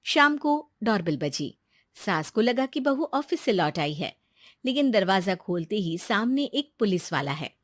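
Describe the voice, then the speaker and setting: A woman's voice: mid-range voice, big pitch swings, measured pace, no room sound, clean studio sound. A woman reading a scripted story as its narrator.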